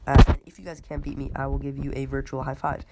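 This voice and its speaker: A woman's voice: a bass voice, little pitch movement, fast, heavy background noise. A woman with a cold hosting a gaming podcast, talking casually with many ums and uhs while she narrates her own live matches. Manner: laid-back, many ums and uhs, talks through live play. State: sick, voice a bit off. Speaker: podcast host.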